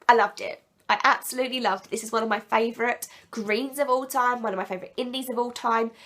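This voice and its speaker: A woman with a sick, croaky and blocked-up voice, chatting enthusiastically and rambling a little as she reviews products in a casual vlog. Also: fairly high pitch, fairly bright tone. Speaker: nail polish vlogger.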